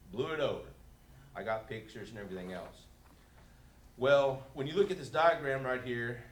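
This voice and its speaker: A man speaking as a resident at a public meeting, explaining informally and deliberately, step by step. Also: medium pitch. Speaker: resident giving public comment. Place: indoor council meeting room. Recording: echoey room, steady background noise.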